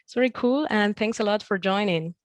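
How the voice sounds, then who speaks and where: A woman's voice: medium pitch, brisk, no room sound. Female host of an online meetup, encouraging and affirming, close dry sound. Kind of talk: facilitating a group meeting.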